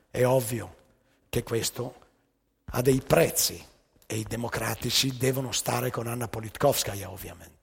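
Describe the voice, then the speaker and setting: Male stage storyteller: heavily rasping, creaky voice, emphatic rhetorical monologue in short measured phrases, live hall reverb. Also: mid-range voice. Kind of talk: theatrical spoken monologue.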